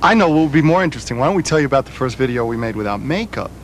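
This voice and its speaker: A man bantering in an interview, playful and teasing with quick comebacks. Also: medium pitch, lively intonation, slight background noise. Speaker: band member being interviewed.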